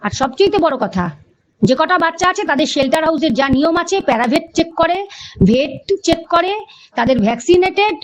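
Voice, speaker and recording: A woman's voice: high-pitched, expressive, a little fast. A woman speaking defensively and insistently in a heated phone conversation. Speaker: animal rescuer. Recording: phone call recording with music underneath.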